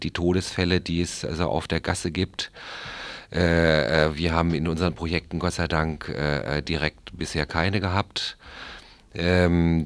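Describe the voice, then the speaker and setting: A man speaking as an expert in a discussion, explaining things in a halting, deliberate way and qualifying his points. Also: sits low. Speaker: drug treatment programme clinician.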